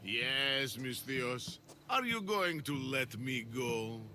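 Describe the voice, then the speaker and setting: A man's voice: medium pitch, expressive, slow. A man acting a smug, menacing smuggling boss in a video game, with mocking, theatrical delivery. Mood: cool menace.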